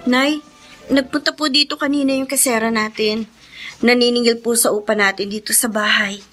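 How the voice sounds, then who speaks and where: A woman's voice: a little high, fairly even intonation, normal speed, a bit bright, soft background music, natural voice. A woman playing an earnest, timid young working daughter in a radio drama, pleading politely. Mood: worried. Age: young adult.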